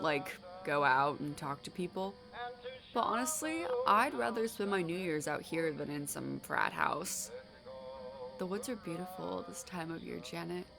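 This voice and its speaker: A woman's voice: fairly low pitch, animated, normal speed, creaky, over music. An actress playing a young, chatty college girl, casual and confiding, in an audio drama. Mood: chatty, content. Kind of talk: naturalistic audio drama acting.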